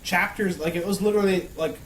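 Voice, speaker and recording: A man's voice: sits high, fairly even intonation, fast, slight background noise, rough recording. A man in a casual round table chat with friends, talking informally with lots of filler "like". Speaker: panelist on a friends' discussion show. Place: room with a group of friends.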